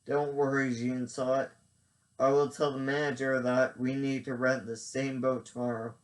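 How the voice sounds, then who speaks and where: Male reader as Boudreaux: helpful Cajun fisherman, raised pitch, bright and eager. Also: flat delivery, moderate pace, lightly acted. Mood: cheerful.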